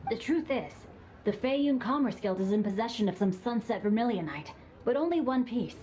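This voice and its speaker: A woman's voice: a little high, expressive, moderate pace, mellow, a little hiss or hum, close to an everyday voice. Woman voicing a courteous young nobleman. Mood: calm. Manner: courteous, formal, composed. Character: refined young nobleman, polite and witty. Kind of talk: game dialogue.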